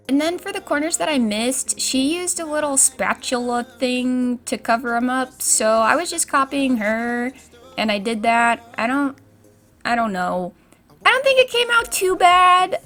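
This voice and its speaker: A young woman with a high, stuffy, congested voice, narrating her crafting vlog in a chatty, upbeat and self-mocking way. Faint music plays softly under her voice-over. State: congested from a cold. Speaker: YouTube crafts vlogger. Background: faint background music.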